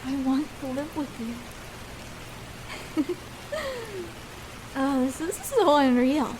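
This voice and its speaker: Woman voicing vulnerable young female escort, intimate ASMR roleplay, slow hesitant delivery, tender, stammering, light hiss behind the voice. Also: sits high.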